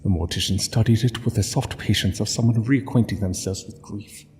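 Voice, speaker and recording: A man narrating a scripted literary story in a solemn, measured way with dramatic pauses. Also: on the low side, a little mellow, big-room sound.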